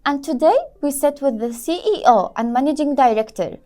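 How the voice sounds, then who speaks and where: Woman host reading her show intro, kinda formal, higher voice. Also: normal speed, warm tone. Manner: formal, read-out welcome. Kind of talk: scripted show introduction and interview questions.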